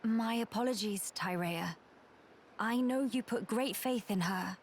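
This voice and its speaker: Slow-spoken, earnest young noblewoman played by a woman. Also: medium pitch, slight background noise, natural voice. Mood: reflective. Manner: measured, formal, courtly phrasing. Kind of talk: dramatic video game acting.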